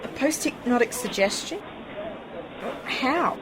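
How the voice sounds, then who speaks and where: A woman's voice: fairly high pitch, expressive, takes it slowly, fairly bright tone. A woman playing a questioning, easily exasperated young companion to her uncle in an amateur science-fiction radio play. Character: inquisitive, headstrong niece, a Martian historian companion. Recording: noisy home-made radio play recording.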